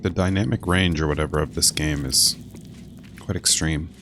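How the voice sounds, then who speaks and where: A man's voice: rumbling low, fairly even intonation, normal speed. A man giving casual gameplay commentary as he streams, with game sound behind him. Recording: noticeable background noise behind voice.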